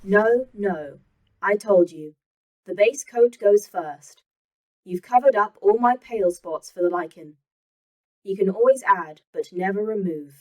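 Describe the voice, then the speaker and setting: An actress playing a fussy station AI who tends the garden and corrects others' work. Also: female voice, mid-range voice, a little slow, darkish tone, distant mic, lightly acted, rough recording. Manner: fussy, instructive.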